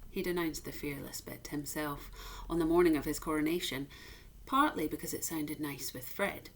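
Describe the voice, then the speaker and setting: A woman reading a children's story aloud like a teacher, with emphatic, expressive narration. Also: lowish voice, gravelly, a little hiss or hum.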